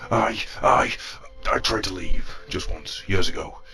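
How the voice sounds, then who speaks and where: A man acting a bitter, stubborn robot officer with a gravelly voice in an audio drama. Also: low voice, unhurried, bright, forceful tone, heavily acted. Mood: subdued confession. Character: bitter, stubborn male robot officer, hard-nosed and defensive.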